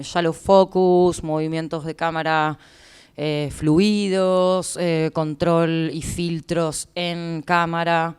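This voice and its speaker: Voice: medium pitch, clean tone. An interpreter with an Argentine Spanish accent, explaining to listeners in consecutive turns at a conference.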